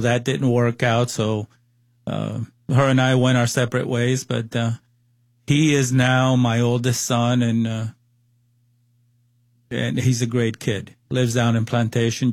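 Cuban-accented man in his sixties, interview guest, slow and halting, dry close sound. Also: mid-range voice, dry recording. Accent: Cuban Spanish. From Cuba. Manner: hesitant, many fillers and restarts. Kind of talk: personal testimony interview.

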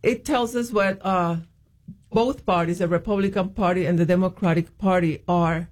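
A woman's voice: fairly low pitch, steady tone, moderate pace, darkish tone. Hesitant woman guest. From Puerto Rico. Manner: hesitant, many ums and restarts. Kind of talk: interview, telling her faith story.

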